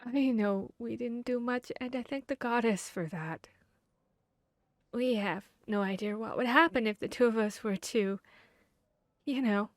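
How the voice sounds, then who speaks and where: A woman playing a guarded, bashful vampire hunter in an intimate ASMR roleplay, speaking earnestly and haltingly. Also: fairly high pitch, no echo, studio quality. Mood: ashamed, apologetic. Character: guarded, bashful female vampire hunter confessing tender feelings. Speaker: audio roleplay voice actor.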